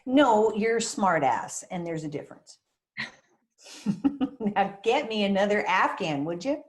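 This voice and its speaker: A woman's voice: medium pitch, lively intonation, a little slow, roomy sound, natural voice. A woman acting a crazy, possessive, folksy small-town mother who is fond but prickly, in a screenplay table read. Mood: teasing, tender. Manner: folksy, dramatic storytelling with teasing jabs. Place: remote virtual table read, roomy-sounding space.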